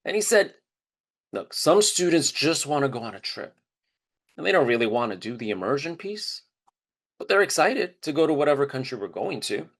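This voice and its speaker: A man's voice: medium pitch, sing-song, moderate pace. A man playing a candid, easygoing educator in a conversational storytelling monologue. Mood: amused, candid. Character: candid, easygoing educator recounting a colleague's advice.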